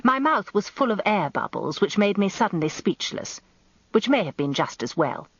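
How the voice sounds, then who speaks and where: An actress playing a genteel, self-important middle-aged English wife, narrating a radio drama in an arch, confiding, expressive way. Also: female voice, mid-range voice, normal speed, breathy edge, no room sound, muffled recording. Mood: flustered, confiding.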